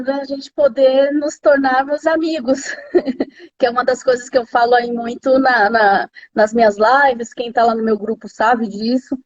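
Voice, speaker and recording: A woman's voice: a little high, a little mellow. A Brazilian woman with a São Paulo accent hosts a casual live chat, interviewing a friend. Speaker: host of a live interview series. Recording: slightly dull lo-fi live-stream audio.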